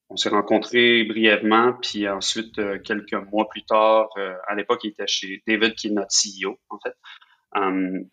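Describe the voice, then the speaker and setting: A man with a Québécois accent speaks as a guest on a podcast. He answers with many fillers and repeated words in a somewhat low voice. The sound comes through a dull, lo-fi remote call. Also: pushed and bright. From Quebec. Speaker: guest, head of design. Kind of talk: remote interview.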